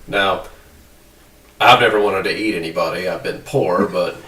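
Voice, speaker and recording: A man's voice: low voice, moderate pace, pushed and bright. A man co-hosting a podcast, talking casually with a wry manner. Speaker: podcast co-host. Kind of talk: casual discussion. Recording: roomy, distant mic, very noisy.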